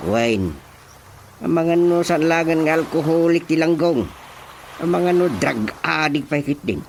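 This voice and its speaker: A man's voice: on the high side, expressive, measured pace, gravelly, slight background noise. A man playing an earnest village chairman in a Filipino radio drama, speaking in an emphatic, admonishing manner. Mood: grave, concerned. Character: male village chairman, earnest, grave local authority.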